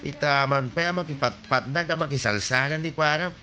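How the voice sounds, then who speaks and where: An actor with an Ilocano accent playing a nudging, teasing village buddy in a comedy radio drama. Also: male voice, a little high, moderate pace, bright, forceful tone, a little hiss or hum.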